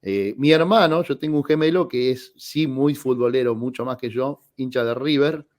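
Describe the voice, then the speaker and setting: A man in his forties with an Argentine accent, a guest telling personal anecdotes in an expressive, conversational radio interview style. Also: medium pitch, moderate pace. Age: 40s. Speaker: guest, tenor singer.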